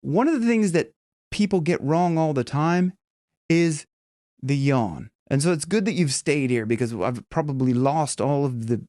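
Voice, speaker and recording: A man's voice: a little high, moderate pace, warm tone, dry recording, studio quality. A man teaching singing in a casual, chatty video lesson, talking emphatically and breaking off into short vocal demos.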